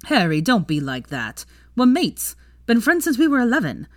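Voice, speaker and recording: A woman's voice: fairly high pitch, wildly swooping pitch, moderate pace, no echo, clean studio sound, pitched above the performer's own voice. A woman voicing a bitter, stubborn estranged husband in a story, in a nasty, emphatic manner. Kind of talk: dramatised fan fiction reading.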